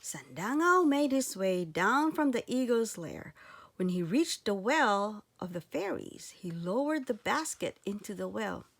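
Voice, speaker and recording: A woman reading a children's storybook aloud with expressive narration. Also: medium pitch, unhurried, vocal fry. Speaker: storybook reader.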